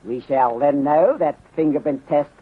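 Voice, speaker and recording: A muffled, narrow-band 1930s radio recording carries a shrewd, courteous middle-aged Chinese detective. His husky voice is measured and formal, with clipped sentences that drop their articles. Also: fairly high pitch. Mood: calm, methodical. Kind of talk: 1930s radio mystery acting.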